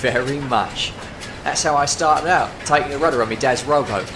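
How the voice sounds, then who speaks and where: A man's voice: medium pitch, normal speed, background noise, natural voice. A man in an audio play acting as an eager, deferential boatman, the skipper's mate, with colloquial speech.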